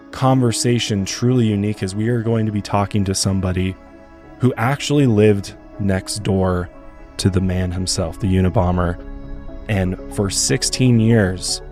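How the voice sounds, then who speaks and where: A hoarse male podcast host, chatty and rambling, talking in a studio. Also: low-pitched, darkish tone. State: hoarse from illness. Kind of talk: podcast interview.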